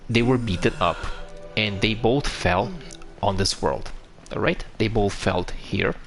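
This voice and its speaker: A man's voice: fairly low pitch, a bit bright, a little hiss or hum. A man from Romania with a Romanian accent, chatting casually on a live stream, answering viewers and explaining a game with frequent restarts.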